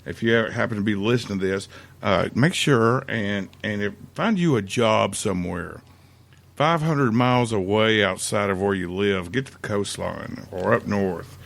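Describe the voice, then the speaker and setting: Podcast co-host, man from Tennessee, gravelly, rambling talker. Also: lowish voice, normal speed, a bit bright. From Middle Tennessee. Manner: rambling, many fillers. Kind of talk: casual chat.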